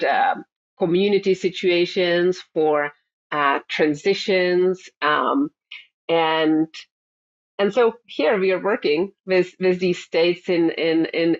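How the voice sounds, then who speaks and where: A middle-aged woman from the Republic, a therapist answering interview questions in a thoughtful, halting way with many fillers and repeated words. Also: on the low side. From Czech Republic. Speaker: therapist guest. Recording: dull lo-fi sound.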